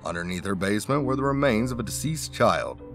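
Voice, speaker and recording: Man narrating a scripted countdown, lowish voice, wry asides, faint music underneath. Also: lively intonation, a little fast, a bit bright. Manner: wry asides between facts.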